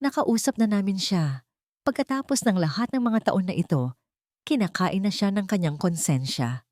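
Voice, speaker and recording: A woman voicing a male private investigator in an audio drama, grave and urgent, speaking deliberately and respectfully. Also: mid-range voice, expressive, darkish tone, no echo, clean studio sound, close to an everyday voice. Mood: eager.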